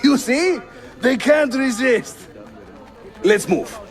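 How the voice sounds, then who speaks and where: A man's voice: very high-pitched, lively intonation, slow pace, some chatter behind, exaggerated voice. A male actor playing a spirited, teasing Ottoman assassin leader in a video game, with a jovial, theatrical manner. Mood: lively, rousing.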